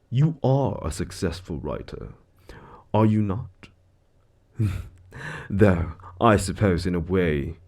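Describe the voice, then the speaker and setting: Suave, menacing male devil, audio drama. Low register with big swoops, theatrical, smugly condescending mock courtesy. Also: darkish tone, exaggerated voice. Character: suave, smug male devil, menacing soul-contract broker in Hell. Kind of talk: scripted audio roleplay monologue.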